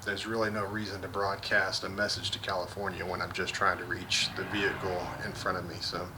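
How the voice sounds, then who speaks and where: A man casually walking through a vehicle install outdoors, relaxed and practical, with lots of fillers and restarts. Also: low voice, fairly quick, background noise. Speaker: vlogger. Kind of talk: casual how-to walkthrough.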